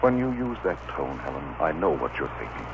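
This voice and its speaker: Radio leading man: impassioned, commanding, very expressive, thin noisy vintage sound. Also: rumbling low, brisk, creaky, dull audio, full character voice. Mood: urgent, frustrated. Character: ardent, protective, masterful gentleman lover. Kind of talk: old-time radio soap opera acting.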